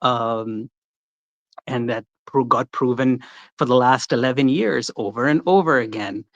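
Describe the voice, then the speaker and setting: A man's voice: medium pitch, a little slow, no room sound. A man calling in to a live stream, telling his story in a rambling way with many restarts. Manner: rambling, lots of restarts and fillers. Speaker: caller. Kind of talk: casual call-in, personal story. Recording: dull, muffled call audio.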